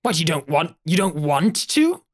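A woman's voice: low voice, sing-song, measured pace, heavily acted. A woman voicing a stout, jovial, scheming old male crook who rambles and wheedles. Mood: wheedling. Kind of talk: tabletop roleplay.

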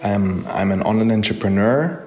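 A man's voice: lowish voice, normal speed, darkish tone, faint music behind. Earnest man in his thirties. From Austria. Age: 30s. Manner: earnest, enthusiastic, with frequent fillers and restarts. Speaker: online entrepreneur. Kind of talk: personal story told to camera.

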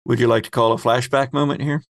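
A man acting as game master of a tabletop role-playing game, narrating scenes in a deliberate, descriptive way. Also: medium pitch, fairly even intonation, no echo, studio quality.

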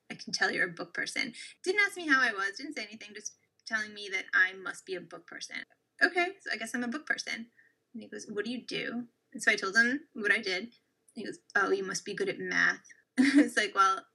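Young woman co-host, animated storyteller, quick delivery, slightly high voice. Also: husky, rough recording. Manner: animated, acts out quoted lines. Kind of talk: casual storytelling chat.

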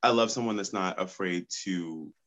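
Congested guy guesting on a podcast, kinda low voice, excited and blunt. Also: normal speed. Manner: enthusiastic, outspoken. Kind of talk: casual podcast chat.

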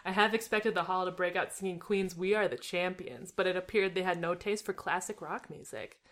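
A woman reading a fan story aloud for laughs, with deadpan asides and frequent laughter. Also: lowish voice, fairly quick. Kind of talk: comedic dramatic reading with banter.

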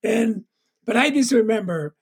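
A man's voice: high-pitched, lively intonation, unhurried, breathy edge. A man from Tonga, telling stories from his own life as an interview guest in an earnest, conversational way that is full of "you know". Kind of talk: personal storytelling in conversation.

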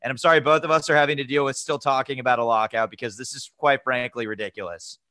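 Bright, forceful male co-host, rambling and hesitant, very dry close sound. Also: medium pitch, fairly even intonation, quick pace, no room sound. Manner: rambling, hesitant, full of uh and repeats. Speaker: podcast co-host. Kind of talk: casual chat.

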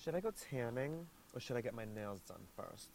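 A man's voice: very animated, a little fast, gravelly, a little mellow. A man doing a whiny Long Island girl. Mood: exasperated. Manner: whiny, exasperated, shrill outbursts. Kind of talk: comedy sketch clip.

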